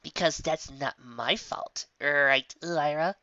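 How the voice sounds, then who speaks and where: A man's voice: on the high side, takes it slowly, pushed and bright, dry recording, dull audio. A man voicing a bossy, exasperated young female unicorn magician with a sarcastic, impatient manner in a story. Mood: sarcastic, confrontational.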